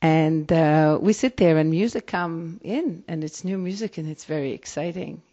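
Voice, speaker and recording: A woman's voice: sits low, moderate pace, dark tone. A woman rabbi whose native language is Hebrew, speaking with an Israeli accent and answering interview questions in a reflective way, often restarting her sentences. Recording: dull lo-fi recording.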